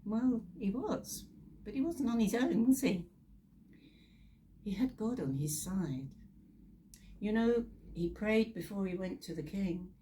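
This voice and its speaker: A woman's voice: mid-range voice, lively intonation, slow, long pauses, dark tone, a little hiss or hum. A British woman telling Bible stories in a chatty, informal way, with theatrical asides and rhetorical questions. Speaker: storyteller.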